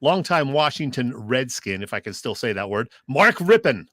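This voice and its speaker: Mid-pitched male sports co-host, dry sound. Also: big pitch swings, moderate pace, bright, forceful tone, dry recording. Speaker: sports radio show co-host. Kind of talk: casual sports talk.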